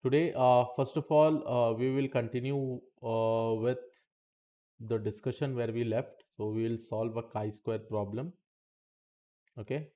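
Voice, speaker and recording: A man's voice: medium pitch, fairly even intonation, unhurried, highs cut off. A man teaching statistics in a live-streamed lesson, chatty and talking to the chat between points, saying okay often.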